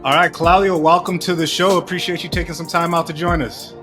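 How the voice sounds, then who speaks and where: A man's voice: fairly high pitch, flat delivery, brisk, over music. A man hosting a podcast interview, upbeat and loose, asking long rambling questions. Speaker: podcast host.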